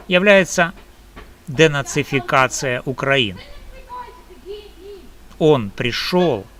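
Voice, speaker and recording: Slow, deliberate Ukrainian man. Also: mid-range voice, bright, forceful tone, slight background noise. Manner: slow, deliberate and emphatic, in measured phrases. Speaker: video blogger. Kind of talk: personal opinion monologue.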